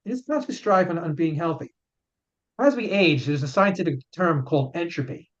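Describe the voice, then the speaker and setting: A man's voice: a little high, animated, normal speed. A man in his fifties, a chiropractor and author, answering interview questions in an earnest way and often repeating words as he explains. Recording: dull lo-fi sound.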